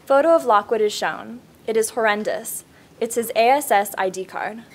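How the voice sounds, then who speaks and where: Woman narrating a script at a table read, slightly high voice. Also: normal speed. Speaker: stage-direction reader.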